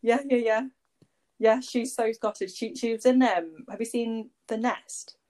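A woman's voice: on the high side, fairly even intonation, measured pace, a little mellow. A woman, chatting as a podcast guest in a rambling, conversational way full of fillers. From Warrington, England. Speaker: podcast guest, agency founder. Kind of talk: casual conversational interview.

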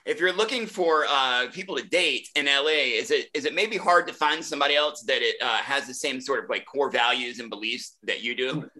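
A man interviewing a guest, asking quick, upbeat questions. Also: mid-range voice, sharp tone, poor audio.

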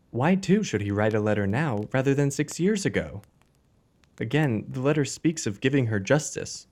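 An actor playing a detective's earnest, puzzled companion in an audio drama, questioning with incredulity. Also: male voice, medium pitch, a little mellow, lightly acted.

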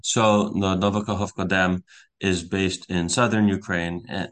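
Low, flat-voiced young man explaining. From United States. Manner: earnest, explanatory, with small restarts. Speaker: guest journalist. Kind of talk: podcast interview.

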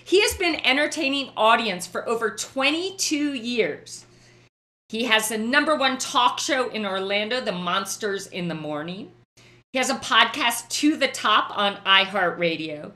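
A woman's voice: fairly high pitch, expressive, unhurried, pushed and bright. A woman hosting a podcast who interviews her guest with effusive praise. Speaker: podcast host.

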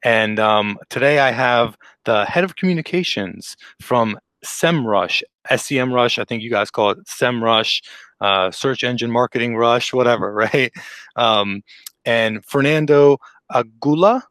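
A man's voice: medium pitch, measured pace, a bit bright, no room sound. A man hosting a remote podcast interview, talking casually with many fillers and an upbeat manner. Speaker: podcast host. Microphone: remote call microphone.

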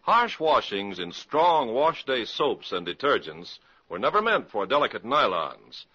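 A man's voice: mid-range voice, very animated, unhurried, bright, forceful tone, breathy edge. A man reading scripted announcements as a 1950s radio announcer on an old recording. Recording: dull vintage radio recording, faint music.

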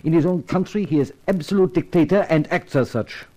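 An actor playing an anxious, courteous Frenchman, speaking gravely and hesitantly in a 1950s radio drama. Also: on the high side, brisk, mellow, lightly acted. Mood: urgent, hesitant. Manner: grave, hesitant, polite, earnest. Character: anxious, courteous Frenchman seeking his lost sister.

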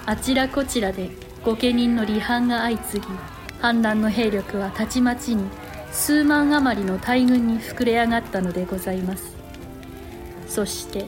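A woman's voice: a little high, natural voice. Woman as a formal courtly chronicler over music. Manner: polite, formal storytelling. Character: courtly noblewoman chronicling a war of old Japan. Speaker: narrator. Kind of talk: scripted period drama narration.

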